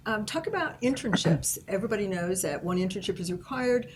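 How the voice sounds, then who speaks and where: A woman's voice: mid-range voice, lively intonation, a little fast, a little hiss or hum. A woman moderating a student panel, asking loosely phrased, encouraging questions.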